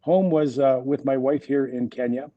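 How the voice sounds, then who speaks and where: A man's voice: mid-range voice, steady tone, moderate pace, no echo, muffled recording. A French Canadian man in his sixties, a native French speaker, speaking English in an interview with many fillers and restarts. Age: 60s. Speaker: guest executive. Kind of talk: interview conversation.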